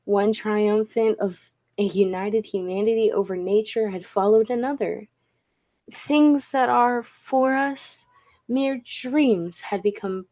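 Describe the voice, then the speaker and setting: A woman's voice: mid-range voice, warm tone. A woman playing a proud, driven inventor and time traveler in a 1940s-style radio play, speaking in formal, theatrical phrases. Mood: grand, disdainful.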